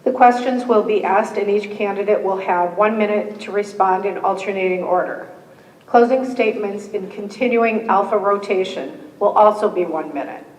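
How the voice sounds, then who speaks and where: A woman, moderating a candidate forum, reading the rules with friendly joking asides and a slightly hoarse voice. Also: mid-range voice, moderate pace, darkish tone. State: slightly hoarse, losing her voice. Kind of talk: reading scripted opening rules with casual asides. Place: meeting room with a live audience. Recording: echoey hall, distant mic.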